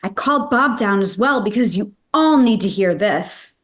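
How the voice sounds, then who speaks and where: A woman's voice: medium pitch, normal speed, close to an everyday voice. A woman voicing an eager, earnest boy who leads a puppy rescue team in a children's table read. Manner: animated, earnest.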